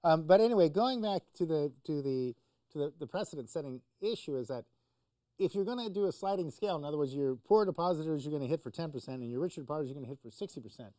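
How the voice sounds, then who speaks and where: A man's voice: a little high, expressive, fast, warm tone, no room sound. A man explaining the news conversationally as a talk show commentator, in a hesitant, informal way.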